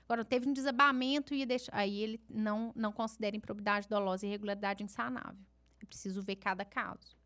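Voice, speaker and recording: A woman's voice: medium pitch, fairly even intonation, quick pace, fairly bright tone. A woman with a regional Brazilian accent, lecturing at a seminar and answering questions in a chatty, self-deprecating way. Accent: Brazilian Portuguese, regional. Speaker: law lecturer. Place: conference hall.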